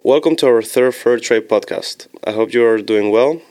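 A young man from Switzerland hosting a student podcast, measured and reading from notes as he thanks each guest. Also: mid-range voice, a little mellow. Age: young adult.

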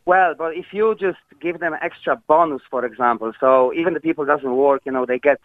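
Polish man, radio caller, halting English, tinny phone line. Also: on the high side, no room sound. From Poland. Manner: hesitant, broken English with many fillers. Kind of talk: phone-in call to a radio show.

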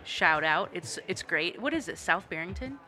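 A woman's voice: fairly low pitch, lively intonation, normal speed, bright, forceful tone, faint music behind. A woman co-hosting a podcast in casual chat in a coffee shop, often giggling through her sentences. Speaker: podcast co-host.

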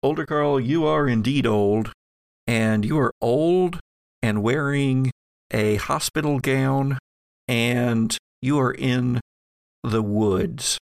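A man working as game master, improvising halting but vivid narration for a tabletop horror game on a podcast. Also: medium pitch, creaky, no echo, clean studio sound.

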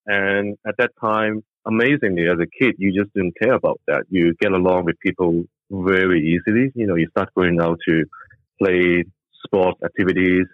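Low-voiced man with a Hong Kong accent. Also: fairly even intonation, moderate pace, no room sound. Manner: easygoing storytelling, thinking aloud. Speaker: guest. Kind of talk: casual interview conversation. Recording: dry tinny phone-like line.

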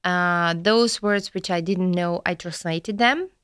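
A woman's voice: on the low side, slow, fairly bright tone, clear, resonant tone, dry recording, clean studio sound. A woman from Ukraine with a Ukrainian accent, speaking cheerfully as a guest in a casual interview. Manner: cheerful, giggly. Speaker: interview guest, student care manager.

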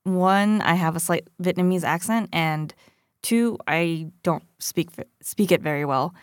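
A woman's voice: on the low side, a little slow, clean tone, dry recording, studio quality. A woman hosting a podcast, moving between scripted personal narration and interview talk. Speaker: podcast host.

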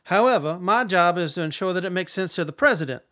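An actor playing a stern, contemptuous older defense secretary in a radio drama, formal, clipped and condescending. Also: male voice, sits high, expressive, fast, fairly bright tone. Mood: sarcastic, controlled.

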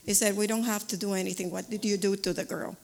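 Halting woman in a reverberant hall. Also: medium pitch, fairly even intonation, gravelly, darkish tone. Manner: halting, searching for words. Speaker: congregation member giving testimony. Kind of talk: personal testimony.

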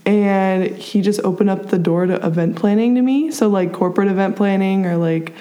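A woman's voice: medium pitch, a little fast, dark tone. A woman in her early twenties from Pennsylvania, a college student speaking as a podcast guest in a bubbly way, with many fillers and easy laughs. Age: early 20s. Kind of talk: casual interview chat.

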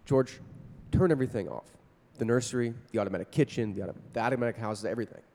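A man playing a grave, measured psychologist in a radio play, giving advice deliberately. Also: mid-range voice, warm tone, close to an everyday voice. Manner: deliberate, advisory. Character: grave, measured psychologist, uneasy family adviser. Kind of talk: old-time radio drama acting.

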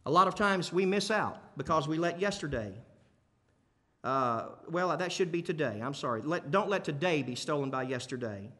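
A man's voice: a little high, lively intonation, normal speed, sharp tone. A man preaching a sermon in an emphatic but conversational way, repeating key phrases and putting questions to his listeners. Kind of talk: sermon to a congregation. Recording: long hall reverb.

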